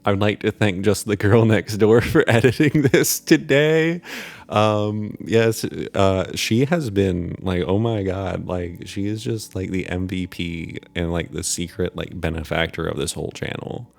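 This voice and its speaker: A man hosting a channel, talking casually to viewers in a halting, informal, rambling way. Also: sits low, creaky, no echo. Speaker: channel host and writer. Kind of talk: casual outro chat to viewers.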